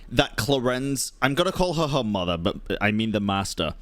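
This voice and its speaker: A man's voice: medium pitch, sing-song, moderate pace, a bit bright, no room sound. A man giving casual, sweary and incredulous live commentary as he reacts to a game, with music behind him.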